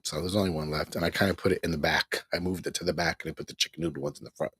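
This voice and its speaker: Gravelly low-voiced man chatting on a livestream. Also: steady tone, rapid-fire, fairly bright tone, no echo, studio quality. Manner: rambling, repeats himself, chuckles often. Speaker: livestream host. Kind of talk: casual live broadcast chat. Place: at home.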